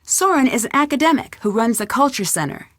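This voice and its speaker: An inquisitive young American sleuth in video game dialogue. Her voice sits a little high, with an earnest, thoughtful way of explaining things. It sounds close and dry, with no room around it. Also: animated, brisk, fairly bright tone, no room sound. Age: young adult.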